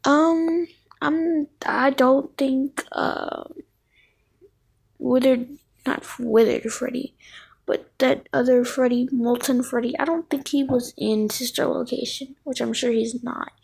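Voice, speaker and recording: A woman's voice: high voice, fairly even intonation, takes it slowly, creaky, a little mellow, breathy edge. A woman hosting casual fan commentary on game characters, thinking aloud and often correcting herself. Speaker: video creator, host.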